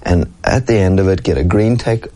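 Earnest low-voiced boxer. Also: male voice, a little fast, dark tone. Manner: earnest, rambling, frequent "you know what I mean". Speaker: professional boxer, interview guest. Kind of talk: casual interview talk.

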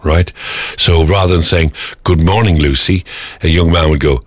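A man's voice: deep voice, steady tone, normal speed, breathy edge, no room sound. A man hosting a breakfast radio show, chatting casually and joking. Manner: chatty, jokey, slips into silly voices. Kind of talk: casual morning radio chat. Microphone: studio microphone.

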